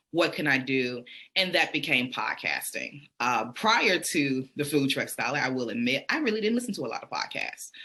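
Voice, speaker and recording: Bright-voiced woman with a Southern lilt. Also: extremely low pitch. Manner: enthusiastic, storytelling, self-reflective. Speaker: podcast host and educator, guest. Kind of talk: conversational interview answers.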